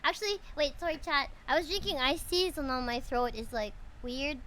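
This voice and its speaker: A woman's voice: high-pitched, lively intonation, normal speed, a bit bright, a little hiss or hum. A bubbly, friendly woman, chatting casually with viewers as she streams a game. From Philippines. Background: music.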